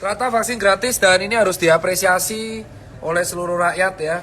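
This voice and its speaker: A man's voice: high-pitched, fairly quick, pushed and bright, slight background noise. A man talking casually to the camera, his blunt, fierce delivery sounding almost angry, sprinkling his advice with slangy calls of boss and bro. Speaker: vlogger giving health advice. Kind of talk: casual direct-to-camera talk.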